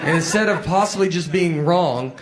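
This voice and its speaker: A man in his forties doing deadpan stand-up comedy, telling slow stories with acted-out dialogue on stage. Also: a little high, a loud crowd, heavy background noise. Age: 40s. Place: stage before a live audience. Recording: loud audience laughter behind.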